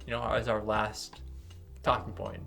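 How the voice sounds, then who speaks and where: A man's voice: fairly low pitch, flat delivery, creaky, fairly bright tone, faint music behind. A young man co-hosting a casual podcast, teasing his co-hosts and joking between topics. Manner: joking, teasing, wraps up with quick plugs. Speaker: podcast co-host.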